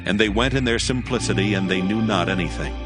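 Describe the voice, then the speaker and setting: A male narrator reads scripture from a script in a slightly low voice. Music is clearly present behind the narration. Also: quick pace.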